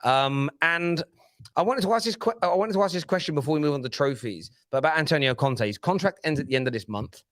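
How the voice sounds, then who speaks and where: A man's voice: on the high side, lively intonation, fast, fairly bright tone, dry recording. A man presenting a football panel show in a studio, talking casually and insistently as he holds the floor.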